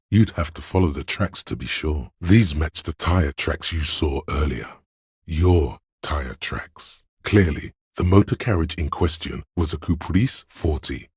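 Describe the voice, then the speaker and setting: A man playing the analytical inner detective voice in a game, speaking in a grave, gravelly, deliberate way with a hard-boiled noir delivery. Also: rumbling low, darkish tone, dry recording, studio quality, exaggerated voice. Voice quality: gravelly, husky. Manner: deliberate, clinical, slipping into noir detective slang.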